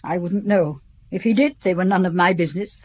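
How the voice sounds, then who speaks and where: Radio-drama housekeeper in her seventies, played by an actress: husky, pious, prickly, clipped and indignant. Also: fairly low pitch, fairly quick, a little mellow, natural voice. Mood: offended, guarded. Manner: curt, indignant, clipped replies. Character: prim, defensive, pious 71-year-old female housekeeper. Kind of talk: British radio drama acting.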